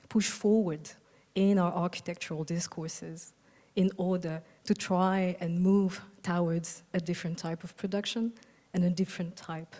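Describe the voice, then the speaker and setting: A young woman from Algeria giving a conference keynote on a stage microphone, thoughtful and soft-spoken, with frequent ums and uhs. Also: lowish voice. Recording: long reverb of a large hall.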